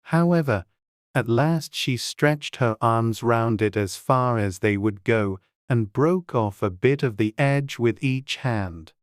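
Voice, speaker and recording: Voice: medium pitch, slow pace, no room sound, clean studio sound. An audiobook narrator reading scripted storybook narration.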